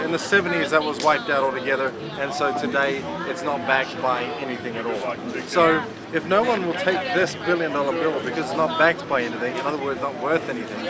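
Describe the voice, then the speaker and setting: New Zealand man speaking over a crowd. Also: fairly high pitch. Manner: earnest, explanatory, with props. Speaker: political campaigner speaking to camera. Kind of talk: impromptu outdoor speech. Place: outdoors at a busy event. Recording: loud crowd noise behind.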